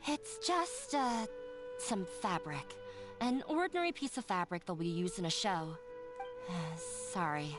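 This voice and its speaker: A woman's voice: lowish voice, expressive, a little slow. An actress playing a shy, self-doubting young woman who talks nervously and hesitantly, over game music. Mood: flustered.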